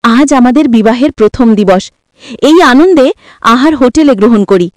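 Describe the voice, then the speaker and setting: A woman's voice: fairly high pitch, expressive, moderate pace, no echo, studio quality, natural voice. A woman voicing a scheming, demanding young new wife in a story, bossy, mocking and self-satisfied. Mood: gleeful.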